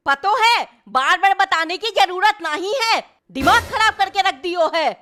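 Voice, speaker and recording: A woman's voice: extremely high pitch, expressive, a little slow, bright, forceful tone, full character voice. A woman voicing a bossy, quarrelsome village mother in a cartoon, speaking a rural Hindi dialect in a scolding, brash manner. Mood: indignant. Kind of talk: exaggerated cartoon dialogue.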